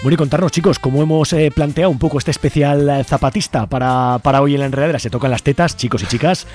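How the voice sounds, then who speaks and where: A man's voice: fairly high pitch, fast talker, darkish tone, faint music behind. A man hosting a radio show in a casual, chummy way. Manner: informal, chummy. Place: radio studio.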